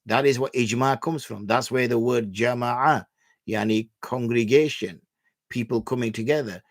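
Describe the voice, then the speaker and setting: Male Islamic scholar, mid pitch, calm explainer for a Q&A show, dry close sound. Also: dry recording. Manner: measured, patient, explains with everyday examples. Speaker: Islamic scholar answering viewer questions. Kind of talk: religious Q&A explanation.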